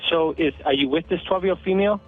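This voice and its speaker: A man's voice: a little high, fast talker. A man working as an emergency dispatcher, questioning a caller over a lo-fi phone line.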